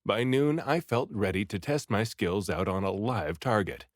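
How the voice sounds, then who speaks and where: A man reading a story aloud in an expressive, theatrical way, acting out the dialogue. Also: lowish voice, normal speed, no echo, studio quality. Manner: animated, theatrical delivery with acted dialogue. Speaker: audiobook reader.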